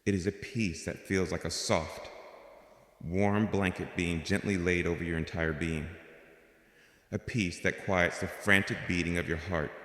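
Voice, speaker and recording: A man giving a slow, gentle, scripted devotional narration in the first person, as God speaking to the listener. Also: low-pitched. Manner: slow, gentle, soothing delivery. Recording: roomy with long reverb.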